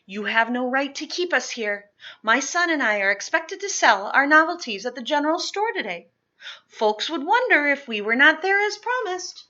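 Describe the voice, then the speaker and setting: A woman voicing a brave, protective mother in a historical storybook, speaking in a calm, firm and deliberate way. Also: high-pitched, muffled recording, pitched above the performer's own voice, exaggerated voice. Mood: firm, defiant.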